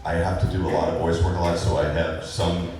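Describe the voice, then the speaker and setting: A man with a very low voice talks through fan questions on a convention panel, loose and rambling, full of "uh"s and small laughs. He sounds far from the mic in a big hall with heavy echo and a faint hiss underneath. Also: little pitch movement, a little fast, a little mellow. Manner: rambling, laid-back, many fillers and chuckles. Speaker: voice actor guest on a convention panel. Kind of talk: casual panel Q&A answers. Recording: echoey hall recorded from far off.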